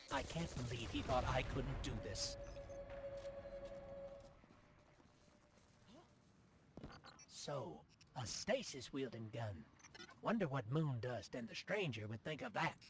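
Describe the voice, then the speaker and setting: A man's voice: medium pitch, lively intonation, unhurried, natural voice. An actor playing an eager, chatty male sidekick in a video game, earnestly trying to sound tough and correcting himself as he goes. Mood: musing, chatty. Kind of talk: scripted video game mission dialogue. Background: music.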